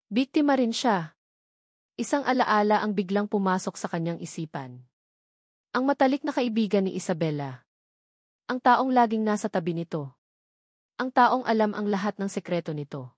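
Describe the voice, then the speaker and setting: A woman reads a scripted dramatic love story as narrator. Her pitch is average for a woman, and she measures her phrases with pauses for emphasis. The recording is very dry. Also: no room sound.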